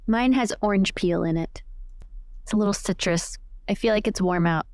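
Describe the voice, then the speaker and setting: A woman's voice: mid-range voice, moderate pace, darkish tone, no room sound. A woman playing a tabletop game, chatting casually and describing her character's actions.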